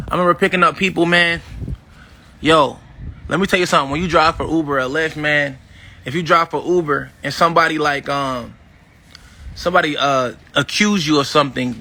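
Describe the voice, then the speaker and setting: A man's voice: fairly high pitch, bright, forceful tone. Swaggering man in his fifties, chatting to viewers. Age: 50s. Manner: swaggering, addresses viewers directly as y'all; acts out past conversations in quotes. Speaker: vlogger. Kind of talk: casual boastful livestream talk.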